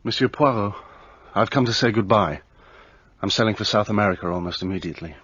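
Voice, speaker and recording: An actor playing a remorseful, impulsive young Frenchman in a radio play, talking in a hurried, self-reproaching way. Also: lowish voice, fairly bright tone, breathy edge, dry recording, highs cut off. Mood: resolute.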